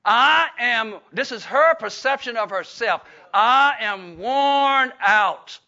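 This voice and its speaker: A man's voice: very high voice, animated, takes it slowly, bright, forceful tone. An older man, preaching a folksy Bible-study sermon full of fillers and restarts. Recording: dull lo-fi recording.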